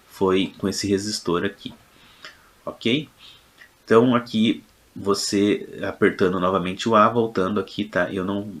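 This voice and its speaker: A Brazilian man giving an informal technical tutorial as a lecturer, explaining in a hesitant way with frequent check-in tags. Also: on the low side. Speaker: electronics lecturer. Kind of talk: informal technical tutorial narration over a demonstration.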